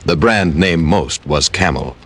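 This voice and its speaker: A man's voice: low-pitched, unhurried. A man delivering lines in a 1950s radio commercial in an emphatic, declarative way. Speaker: commercial announcer.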